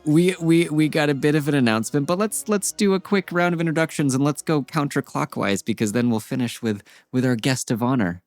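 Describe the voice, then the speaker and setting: A man's voice: fairly high pitch, brisk, few pauses, darkish tone, dry recording, clean studio sound, faint music behind. A man hosting a tabletop game podcast as its game master, playful and easily amused. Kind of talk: casual podcast chat.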